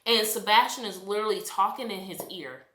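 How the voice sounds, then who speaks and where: A woman from the United States reviews a movie in a chatty, animated rant, sounding exasperated. Her voice is bright and forceful. It rings in an echoey room. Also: medium pitch, brisk. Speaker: vlogger.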